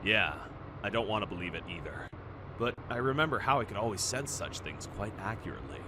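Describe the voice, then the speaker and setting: A man playing a grave, loyal first officer in a fan radio play, speaking in a measured, formal way. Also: on the low side, animated, background noise, close to an everyday voice. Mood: troubled, confiding. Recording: music behind the voice.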